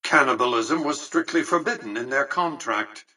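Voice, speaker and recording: Husky, haughty tycoon. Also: male voice, medium pitch, normal speed, bright, forceful tone, distant mic. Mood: dry disdain. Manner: precise, formal, haughty. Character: imperious, calculating old male tycoon, coolly condescending. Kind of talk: video game character dialogue.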